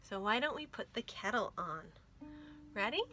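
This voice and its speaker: A woman hosting storytime for young children, reading aloud in an animated, expressive way and speaking to them directly. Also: medium pitch, moderate pace, soft background music. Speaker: children's library storytime host.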